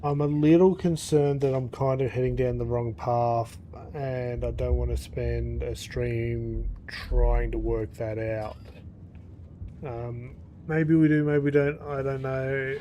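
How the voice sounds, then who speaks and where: A man with a soft, mellow voice streams a game, sounding tired and a little stuffed up. He rambles casually, thinking out loud. There is faint noise behind him. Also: medium pitch, little pitch movement, measured pace. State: tired, congested. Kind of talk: casual livestream chat over gameplay.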